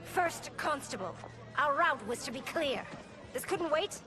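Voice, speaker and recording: A woman's voice: sits high, lively intonation, unhurried, over music, crowd noise. A woman playing a proud, commanding young princess in a video game, with formal, imperious speech. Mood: stern. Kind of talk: cinematic video game acting.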